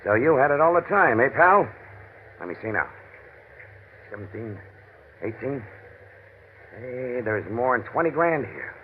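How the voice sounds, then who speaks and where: A man's voice: mid-range voice, sing-song, a little slow, pauses often. An actor playing a crude, sneering, bullying outlaw gunman with slangy tough talk in an old-time radio drama. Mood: sneering, suspicious. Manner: bullying, taunting. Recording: tinny, noisy old radio recording.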